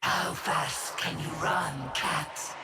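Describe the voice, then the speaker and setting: A woman voicing an ancient, haughty demonic hound pack leader in a video game, speaking with deliberate menace. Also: sits low, background noise. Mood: taunting. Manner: slow, menacing, deliberate delivery. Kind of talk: video game character acting.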